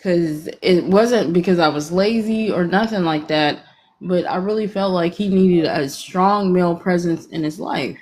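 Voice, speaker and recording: A woman's voice: on the low side, darkish tone, slight background noise. Frank woman on a phone call. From Atlanta, Georgia, US. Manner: frank, self-deprecating, talks in quick bursts. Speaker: caller on a live stream. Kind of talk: candid casual storytelling.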